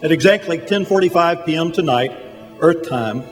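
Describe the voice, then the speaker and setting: A man playing a calm, authoritative head angel who instructs his apprentice in a radio drama. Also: on the high side, normal speed, close to an everyday voice. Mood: calm, instructive. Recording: hall reverb, music underneath.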